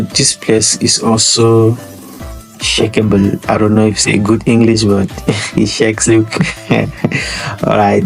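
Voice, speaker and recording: A man's voice: on the low side, creaky, poor audio. A man from Nigeria with a Nigerian accent, casually walking through a screen-share tutorial and often repeating his words. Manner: rambling, repeats words, chuckles. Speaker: trading tutor.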